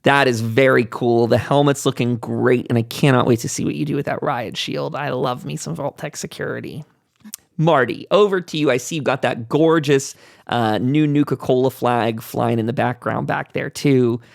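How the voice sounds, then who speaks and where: A man hosts a relaxed, informal panel chat. His mid-pitched voice has noticeable fry, and the sound is close and dry with little room in it. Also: moderate pace, dry recording. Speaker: host of a panel show. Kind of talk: casual roundtable chat.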